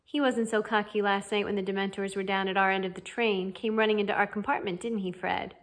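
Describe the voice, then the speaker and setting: A woman's voice: medium pitch, level tone, a little fast, close to an everyday voice. Lady reading as older schoolboy in a storybook, easygoing, casual, steadying. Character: easygoing teenage schoolboy, reassuring older friend.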